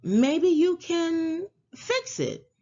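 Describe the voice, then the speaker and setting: A woman's voice: extremely high pitch, big pitch swings, extremely slow, darkish tone, highs cut off, pitched above the performer's own voice, heavily acted. A woman voicing a cheerful, patient young girl in a storybook, polite and encouraging. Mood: gentle, encouraging.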